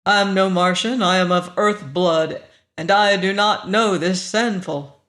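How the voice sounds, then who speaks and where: A man's voice: sits high, steady tone, a little slow, a bit bright. A man voicing a grim, guarded fighting man in an adventure story, speaking tersely.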